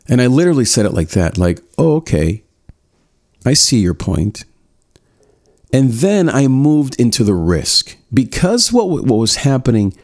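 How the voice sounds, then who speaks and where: A man giving social skills advice in a casual spoken lesson, reflecting on a personal story and often correcting himself as he talks. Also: medium pitch. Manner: reflective, self-correcting, acts out quoted lines.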